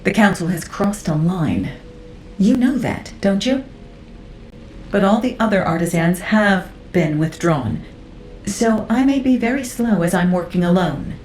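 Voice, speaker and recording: A woman voicing a serene, ageless female artisan in a video game, fond and teasing toward youngsters, with an unhurried, indulgent, amused manner. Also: animated, a little mellow, faint music behind, close to an everyday voice. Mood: calm, firm. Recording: roomy echo with rumbling noise.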